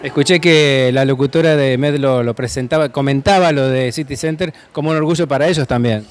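A man's voice: mid-range voice, fairly quick. A man with an Argentine accent, a reporter asking friendly, upbeat questions at an indoor event. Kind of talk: on-the-spot event interview. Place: indoor school hall. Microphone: handheld microphone.

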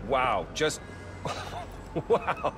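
An actor playing a slick, flattering corporate research executive with an American accent, glib and chummy, in a video game cutscene. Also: male voice, fairly high pitch, very slow, over music, heavily acted. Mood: impressed, chummy.

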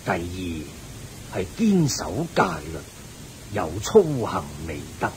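Slow, kindly Buddhist sage, Hong Kong Cantonese. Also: male voice, wildly swooping pitch, exaggerated voice. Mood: patient teaching. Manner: measured, benevolent, didactic. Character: kindly, wise male Buddhist layman sage. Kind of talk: radio drama acting, teaching. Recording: hissy, rumbly old radio recording.

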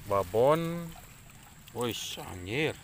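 A man's voice: mid-range voice, extremely slow. A man chatting and joking while fishing for eels outdoors, recorded on a handheld camera. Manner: joking, excited. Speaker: fishing vlogger. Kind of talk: casual outdoor chat. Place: outdoors by rice paddy ditch. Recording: outdoor ambience, faint noise.

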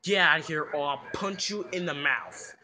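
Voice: medium pitch, normal speed, sharp tone, slight background noise. A performer voicing an irritable Koopa father in a toy skit, blustering and scolding in repetitive bursts. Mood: angry scolding.

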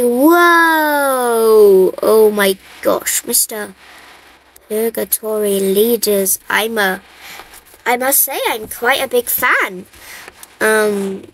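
A woman playing an excitable, skeptical young hero in an amateur cartoon, chatty and exclamatory. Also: fairly high pitch, a little hiss or hum, rough recording. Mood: starstruck. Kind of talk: amateur animated series acting.

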